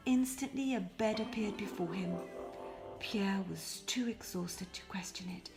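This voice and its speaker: A woman narrates a children's fairy tale with expressive, deliberate phrasing. Her pace is slow and her voice often creaky, dropping to a hush at phrase endings. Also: mid-range voice, over music. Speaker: storybook reader.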